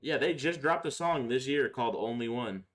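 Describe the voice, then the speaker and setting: A man's voice: medium pitch, normal speed, fairly bright tone. A young man chatting casually on a livestream, answering viewers in slangy, profane, opinionated talk. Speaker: live streamer.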